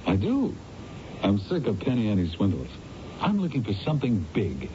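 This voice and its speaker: An actor playing a brash, ambitious confidence trickster in a radio drama, cocky and emphatic. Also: male voice, huge pitch swings, moderate pace, dark tone. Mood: cocky, eager. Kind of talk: 1970s radio drama acting. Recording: muffled, noisy 1970s radio broadcast with rumble.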